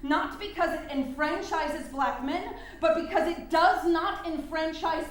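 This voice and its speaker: An embattled nineteenth-century suffragist voiced by a woman speaks high, with pointed, formal emphasis. She is heard in a staged play reading, in a room with heavy echo. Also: unhurried. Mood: defiant, pointed. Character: earnest, sharp-tongued, embattled 19th-century suffragist newspaper proprietress. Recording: very echoey room.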